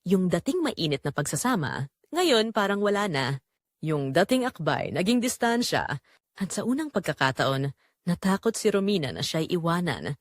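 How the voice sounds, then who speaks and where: Expressive Filipino woman narrating a drama. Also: fairly low pitch, normal speed, no echo, studio quality. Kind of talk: scripted dramatic story narration.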